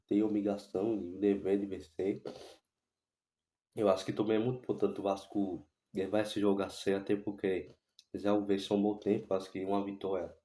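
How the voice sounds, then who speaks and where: Hoarse man with a cold. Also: low-pitched, warm tone, echoey room. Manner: rambling, repeats himself with many restarts and fillers. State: hoarse from a sore throat and cold. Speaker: football fan channel host. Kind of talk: casual vlog commentary on football.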